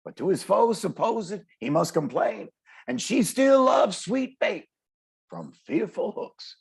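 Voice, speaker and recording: A man playing a brash, streetwise poetic performer with a gravelly, husky voice, swaggering and declamatory in a table read. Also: sits high, huge pitch swings, takes it slowly, heavily acted. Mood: solemn, declamatory. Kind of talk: dramatic table read, slang and verse. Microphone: video call. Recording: video call.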